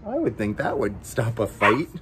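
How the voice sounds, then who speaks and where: A man's voice: medium pitch, wildly swooping pitch, moderate pace, very noisy. A man reading a picture book aloud to children, playfully coaxing them and chatting directly to them between passages. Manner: playful, coaxing, talks directly to young viewers. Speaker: story time host reading to children.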